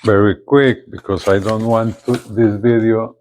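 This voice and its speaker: A man presenting a casual unboxing video, speaking haltingly and often repeating his words. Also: fairly low pitch, steady tone, a little mellow, slight background noise. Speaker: gadget reviewer, video host.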